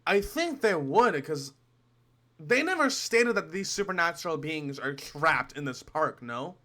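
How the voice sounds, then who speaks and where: Bright, higher-voiced young man reacting casually. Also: sing-song, a little slow. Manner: joking, sweary, musing aloud. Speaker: reaction video streamer.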